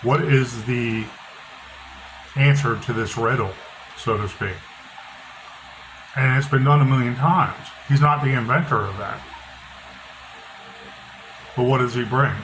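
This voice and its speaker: A middle-aged author talking casually with a loud, bass-heavy voice, blunt and opinionated, often repeating himself. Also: male voice. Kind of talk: casual monologue. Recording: noisy background hiss.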